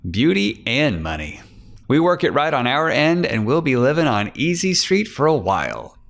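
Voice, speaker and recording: A man voicing a slick, greedy, flirtatious private detective in a crime audiobook, smooth-talking and cocky. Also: medium pitch, huge pitch swings, moderate pace, a bit bright, pitched above the performer's own voice, heavily acted.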